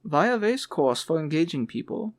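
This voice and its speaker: A man's voice: on the high side, sing-song, moderate pace, no room sound. A man voicing a curious, polite young job seeker in an audiobook, with an earnest, questioning manner. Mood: surprised. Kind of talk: audiobook character voice.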